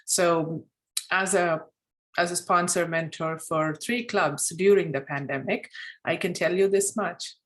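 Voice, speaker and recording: A woman's voice: lowish voice, fairly even intonation, measured pace, a bit bright, low-quality recording. A woman whose first language is Telugu, earnestly explaining her points step by step as a club mentor on a video call. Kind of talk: answering in a virtual meeting.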